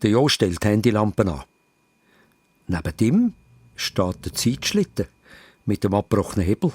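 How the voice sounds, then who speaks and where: A man's voice: lowish voice, sing-song, moderate pace, darkish tone, husky, no echo. A man with a Swiss German accent narrating a children's story dramatically, in short, suspenseful phrases. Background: music.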